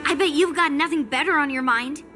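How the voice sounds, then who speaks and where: A woman voicing a blunt, proud, cheeky young girl sword fighter in an anime game. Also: high-pitched. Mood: teasing.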